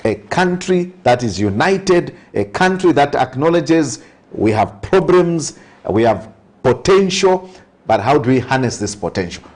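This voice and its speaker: A husky male voice with a Zambian accent, talking as a priest invited onto an interview programme. He speaks earnestly and conversationally, repeating phrases for emphasis as he offers social commentary with a preacherly touch. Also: medium pitch, sing-song, unhurried, a little mellow.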